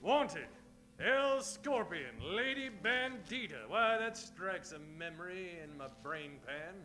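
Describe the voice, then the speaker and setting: A man's voice: high voice, sing-song, slow pace, pushed and bright, soft background music, exaggerated voice. A man acting a boastful, gold-hungry cowboy hero in an old-time radio western comedy, with a hammy, declamatory delivery. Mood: pondering, declamatory.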